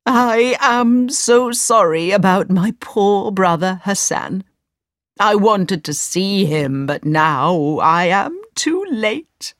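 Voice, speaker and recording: A woman voicing a sly old male wizard posing as a kindly uncle in a storybook, with a theatrical, drawn-out delivery. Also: on the low side, sing-song, no echo, studio quality, exaggerated voice. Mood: tearful, feigned grief.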